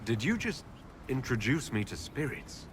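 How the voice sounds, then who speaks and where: A man playing a composed, curious elven leader in a fantasy game, asking questions in a measured, wry way. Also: medium pitch, sing-song, close to an everyday voice. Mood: puzzled. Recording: clear ambient noise behind voice.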